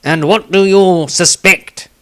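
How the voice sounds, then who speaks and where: An earnest, anxious halfling scribe, acted out by a male reader in a fantasy tale. His voice sits fairly high, and he speaks with questioning emphasis. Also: steady tone, takes it slowly, a bit bright, no echo. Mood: curious.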